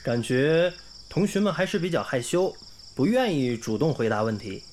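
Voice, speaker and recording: A man's voice: a little high, sing-song, moderate pace, a little mellow, slight background noise. An actor playing a kindly, earnest young male English teacher in a radio drama, with an encouraging manner. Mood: thoughtful. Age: young adult. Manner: clear, encouraging classroom delivery. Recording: music under the dialogue.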